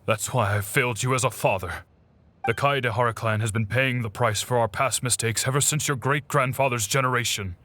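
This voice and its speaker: An actor playing a grave, middle-aged father and clan head, speaking in a measured, resigned way in a video game drama. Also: mid-range voice, bright, forceful tone, no echo, lightly acted. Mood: solemn regret.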